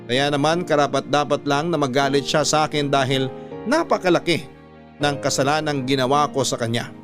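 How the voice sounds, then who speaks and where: A man's voice: fairly high pitch, normal speed, music in the background. A man narrating a scripted first-person story as a radio drama narrator reading out a letter.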